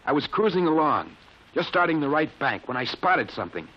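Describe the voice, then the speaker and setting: An actor in an old-time radio drama playing a shaken, earnest young test pilot, halting and insistent. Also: male voice, fairly high pitch, big pitch swings. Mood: shaken, dazed. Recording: muffled old radio broadcast recording.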